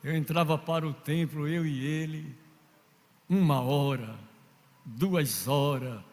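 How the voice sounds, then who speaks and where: A man's voice: a little high, takes it slowly. Preaching man in a reverberant hall. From Northeast Brazil. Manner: storytelling, acts out quoted voices, builds to shouting. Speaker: pastor. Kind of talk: preaching sermon with anecdotes. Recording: long reverb of a large hall.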